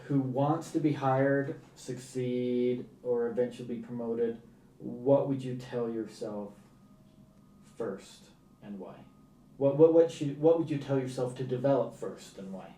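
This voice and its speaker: A soft-toned man putting questions to a guest from the far side of a roomy office, faint noise around him. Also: mid-range voice, a little slow. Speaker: interviewer. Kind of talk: informal interview questioning.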